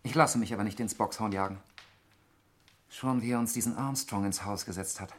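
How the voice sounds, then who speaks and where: An actor playing an irritable, suspicious lawyer in a 1960s German radio murder mystery, speaking in a precise, argumentative way. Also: male voice, lowish voice, brisk, close to an everyday voice. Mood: suspicious, scornful. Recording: noisy 1960s radio recording with clicks.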